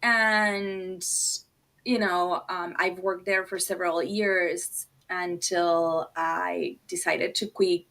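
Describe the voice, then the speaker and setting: A woman's voice: fairly low pitch, steady tone, takes it slowly, gravelly, a bit bright, rough recording. A middle-aged woman from Argentina, a native Spanish speaker, telling stories casually and animatedly, with self-deprecating asides and acted-out dialogue. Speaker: podcast guest, designer and producer. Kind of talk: casual conversational storytelling.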